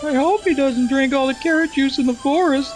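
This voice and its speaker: A man's voice: very high-pitched, brisk, pitched above the performer's own voice, low-quality recording. A man voicing a small, wide-eyed turtle friend who worries a lot, with music behind. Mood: anxious wide-eyed.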